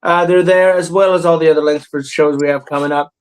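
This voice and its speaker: Thirtysomething guy hosting a podcast, high voice, laughs a lot and swears, rambles with fillers. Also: steady tone, fast talker, clear, resonant tone, rough recording. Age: 30s. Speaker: podcast host. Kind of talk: casual comedy podcast chat.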